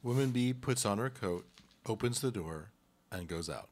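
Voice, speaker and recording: A man's voice: lowish voice, expressive, normal speed, a little mellow. A man narrating a live radio play, reading out scripted stage directions.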